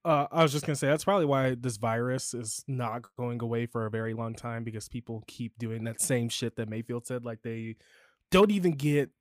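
A man from Chicago chatting as a podcast host, loose and profane, his pitch shooting up when he gets worked up. The sound is close and dry, with no room around it. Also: brisk, no room sound. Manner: animated, swearing, jumps to high pitch when riled. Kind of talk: casual chat.

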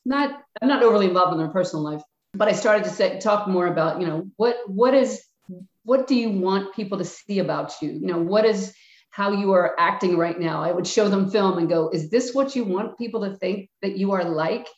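A woman's voice: medium pitch, fairly quick. Older Philly woman, frank retired coach; roomy, muffled sound. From Philadelphia. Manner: frank, plainspoken, acts out quotes of herself and players. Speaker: retired basketball coach, guest. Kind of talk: reflective interview answers. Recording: echoey, dull-sounding room.

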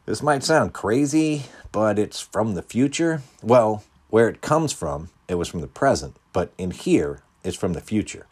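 A man voicing an earnest, worried young man in an audiobook story, speaking in a hushed, urgent way. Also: on the low side, expressive, measured pace, few pauses, slightly rough, voice pushed up. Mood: awkward.

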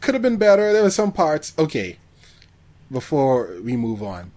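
A man chatting casually as a podcast co-host, expressive and given to acting out reactions. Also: on the high side, moderate pace. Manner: expressive, acts out reactions and quotes. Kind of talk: casual film discussion.

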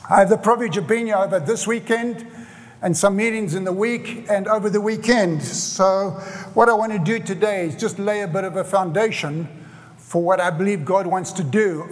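South African preacher, high voice, echoing hall. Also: male voice. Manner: earnest, repeats phrases for emphasis. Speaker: pastor. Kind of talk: sermon. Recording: hall reverb.

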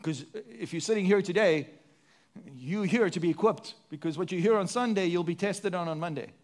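A man with a South African accent delivers a sermon from the pulpit. His voice sits high for a man. He throws rhetorical questions at the congregation and moves between emphatic lines and quieter, hushed ones. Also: normal speed. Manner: preaching with sweeping rises and drops, rhetorical questions. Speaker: pastor.

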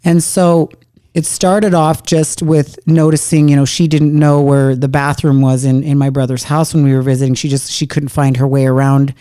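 A middle-aged woman in casual conversation, an earnest storyteller who slows down to stress key moments. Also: deep voice, little pitch movement, mellow, no room sound. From Canada.

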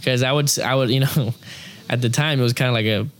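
A man's voice: medium pitch, steady tone, quick pace. Chatty young man, frequent "you know". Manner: rambling, many fillers like "you know" and "um". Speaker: opera singer, interview guest. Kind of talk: casual conversational interview.

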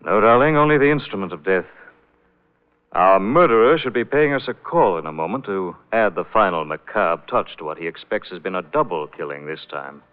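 Brisk, low-voiced radio detective, tinny sound. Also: male voice, animated, clear, resonant tone. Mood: grim, commanding. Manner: urgent, authoritative, theatrical. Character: commanding, urgent gentleman detective, male, adult. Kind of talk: 1940s radio mystery acting. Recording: tinny, narrow old radio broadcast.

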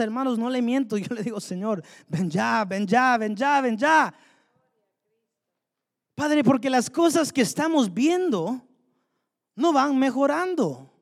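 High-voiced man preaching in a reverberant hall. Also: vocal fry. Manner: fervent, rhetorical questions to the congregation. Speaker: pastor. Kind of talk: sermon.

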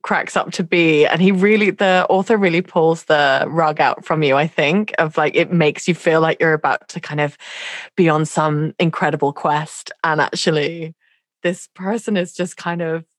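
A woman's voice: low-pitched, fairly even intonation, normal speed, no room sound, clean studio sound. A woman co-hosting a book podcast, talking in a casual, hesitant way with many fillers and restarts. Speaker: podcast co-host. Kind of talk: casual literary discussion.

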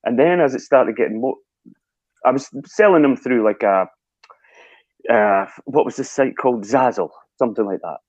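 A Scottish man, a guest telling lively stories in a casual interview and acting out his own past thoughts. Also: medium pitch, moderate pace, no room sound, muffled recording. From Scotland.

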